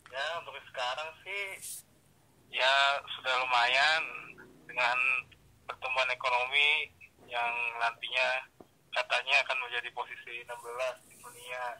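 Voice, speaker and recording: A young man giving casual, halting answers as a student being interviewed over the phone. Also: mid-range voice, steady tone. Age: young adult. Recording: noisy, sharp telephone line.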